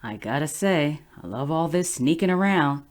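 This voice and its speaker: An actress playing a tall, sardonic woman sidekick who is game for anything, with dry, expressive delivery in an audio drama. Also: low-pitched, moderate pace. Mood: amused.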